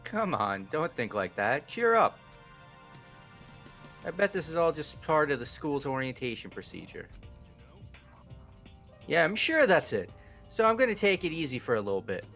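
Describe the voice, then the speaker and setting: Casting: man for an excitable, laid-back high schooler in a game, swooping melody, light music behind. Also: moderate pace, long pauses. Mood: breezy, reassuring. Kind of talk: amateur game character acting.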